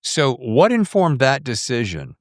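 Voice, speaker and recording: A man's voice: medium pitch, wildly swooping pitch, a little slow, no echo, clean studio sound. A man hosting a podcast, interviewing in a casual, conversational and enthusiastic way.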